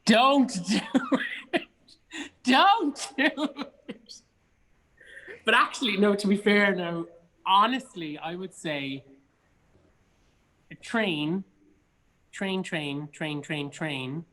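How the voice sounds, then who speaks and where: Irish man, Cork accent, high-pitched for a male, casual interview chat, emphatic, repetitive phrasing. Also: drawn-out words, long pauses, bright, forceful tone, poor audio. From Cork, Ireland. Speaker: interview guest, stage actor. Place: remote online video chat.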